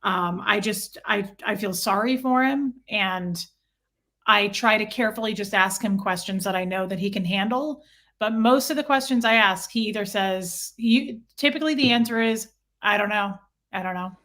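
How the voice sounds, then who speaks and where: A woman's voice: mid-range voice, normal speed, pushed and bright. A woman speaking as an interview guest, telling personal stories casually and earnestly, often acting out both sides of conversations.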